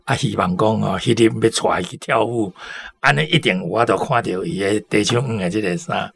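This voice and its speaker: A man's voice: on the low side, moderate pace, creaky. A man giving casual, chatty commentary on stories for a radio programme.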